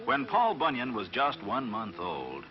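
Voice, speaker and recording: Man telling a tall tale, animated pitch. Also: a little slow. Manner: dramatic, expressive storytelling. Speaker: storyteller, narrator. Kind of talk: scripted children's folk-tale narration. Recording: dull vintage recording, faint music behind.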